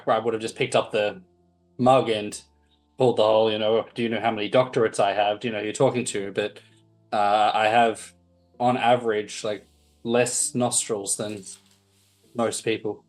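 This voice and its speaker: A man with a fairly low voice talks through a tabletop roleplaying game. He is casual but wordy and a bit dryly pedantic, and there is faint music behind him. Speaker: player.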